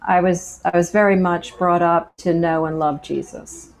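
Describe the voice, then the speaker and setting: A woman's voice: on the low side, steady tone, a little slow, creaky, poor audio. A woman from Pennsylvania, a guest on a faith show, telling her life story in an earnest, anecdotal way and often restarting her sentences. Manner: earnest, anecdotal, repeats words as she restarts. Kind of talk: personal testimony in conversation.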